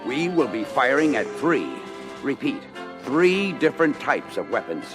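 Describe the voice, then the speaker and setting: A man's voice: medium pitch, big pitch swings, measured pace, over music. An actor playing a stern military commander in an audio adventure, addressing his crew in a formal, urgent, commanding manner. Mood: grave, urgent. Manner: commanding, formal, emphatic briefing. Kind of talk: 1980s children's audio adventure record acting.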